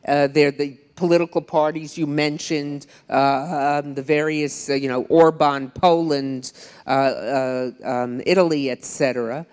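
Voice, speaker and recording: A woman's voice: sits low, steady tone, measured pace, darkish tone, smooth. An older woman author and historian speaking on a panel, chatty and digressive with wry asides, into a handheld mic. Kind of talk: public panel discussion. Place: large lecture hall on stage. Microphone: handheld microphone. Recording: long hall reverb.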